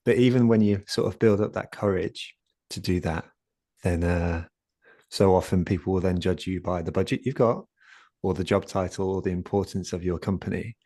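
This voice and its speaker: Soft-spoken guest, a man with a low, mellow voice, hesitant and full of fillers, over a dry video call. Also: moderate pace, dry recording. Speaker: guest speaker, community founder. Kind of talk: conversational talk in an online session.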